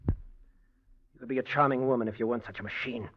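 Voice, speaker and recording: A man's voice: mid-range voice, fairly even intonation, a little fast, natural voice. An actor playing a proud, touchy young male ballet dancer in a 1940s radio drama, sulky and defensive. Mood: resentful. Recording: dull old radio broadcast.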